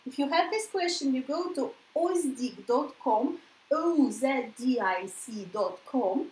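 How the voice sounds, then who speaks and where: A woman's voice: high voice, expressive, slow pace, a little mellow, roomy sound, rough recording. A Russian-born woman with a Russian accent, teaching an English lesson in a chatty way, often checking in with "yeah?". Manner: chatty, explains with tag questions like "yeah?". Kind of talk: casual spoken lesson.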